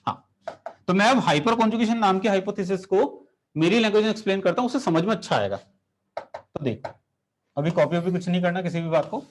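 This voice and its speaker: Animated chemistry teacher, man. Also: high voice, brisk, long pauses, slight background noise. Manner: animated, rhetorical questions, addresses students directly. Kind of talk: classroom lecture with storytelling.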